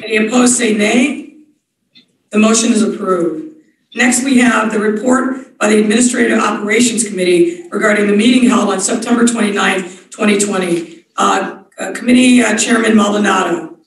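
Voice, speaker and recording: A woman's voice: mid-range voice, normal speed. A woman chairing a formal board meeting in a procedural manner, with frequent fillers, in a large wood-panelled office. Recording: echoey room from far off.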